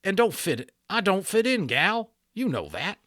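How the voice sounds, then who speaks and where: Stubborn, worried storybook cowboy, voiced by a man, pushed high, folksy and emphatic, stretching his words. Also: sing-song, a little slow, sharp tone, dry recording, studio quality, exaggerated voice. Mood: anxious, insistent. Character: anxious, stubborn adult cowboy with folksy speech. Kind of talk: storybook character voice.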